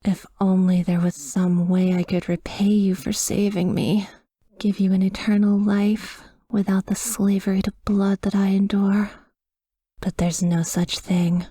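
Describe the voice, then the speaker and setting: Woman doing a whispery vampire girlfriend for asmr, breathy n slow, tender but tormented, real soft dark tone, very close dry sound. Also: medium pitch, flat delivery, no room sound. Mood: loving, melancholy. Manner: slow, hushed, intimate delivery. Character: tender, tormented female vampire lover watching over her sleeping partner.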